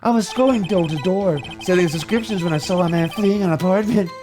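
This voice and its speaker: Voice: mid-range voice, fairly quick, over music, natural voice. A performer voicing a shifty, nervous newspaper seller, a man giving testimony in a video game reading. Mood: dramatic, shifty. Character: shifty, jumpy middle-aged male newspaper seller witness.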